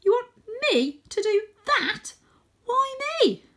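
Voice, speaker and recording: Woman voicing a high, excitable little girl cat. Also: takes it slowly, exaggerated voice. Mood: frightened, doubtful. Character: timid, excitable young female cat, eager to please.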